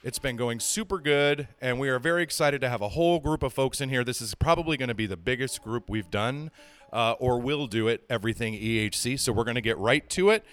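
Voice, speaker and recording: A man hosting a podcast, giving an upbeat, energetic introduction. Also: mid-range voice, fairly quick, clean tone. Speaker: podcast host.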